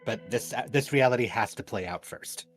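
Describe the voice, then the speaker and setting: A man's voice: mid-range voice, moderate pace, over music. A man talking casually as a player in a tabletop roleplaying game. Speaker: player and performer in tabletop games. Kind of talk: casual game talk and plugs.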